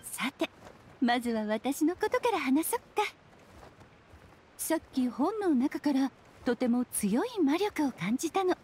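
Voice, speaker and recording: A woman playing a playful, mysterious, self-assured witch in an anime video game, with a teasing, unhurried delivery. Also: high-pitched, sing-song, a little mellow, a little hiss or hum. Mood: serene explaining.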